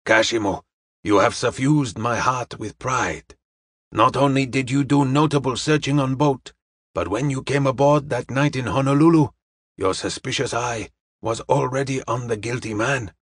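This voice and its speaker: Guy doing a dignified chinese detective for an audiobook, wise and gently teasing, stilted formal phrasing, dry close sound. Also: mid-range voice, moderate pace, slightly rough, dry recording, close to an everyday voice. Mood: calm, benevolent. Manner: formal, stilted English with dropped articles and proverbs.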